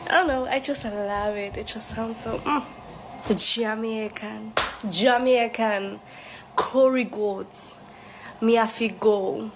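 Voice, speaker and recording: A woman's voice: fairly high pitch, takes it slowly, background noise. A woman from Africa, talking in a casual selfie video, tentative and giggly, dragging out her words. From South Africa.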